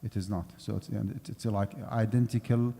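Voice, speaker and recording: A man's voice: fairly low pitch, fairly even intonation, brisk, vocal fry, warm tone. A man giving a conversational lecture on Islamic finance in a mosque, explaining step by step and checking in with his listeners. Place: mosque prayer hall. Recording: long hall reverb.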